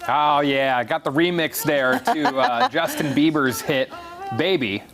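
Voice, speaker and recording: A man's voice: fairly high pitch, big pitch swings, unhurried, vocal fry, a bit bright, faint music behind. A man who is a news anchor, joking casually, then reading headlines. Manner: laid-back, joking. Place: TV studio.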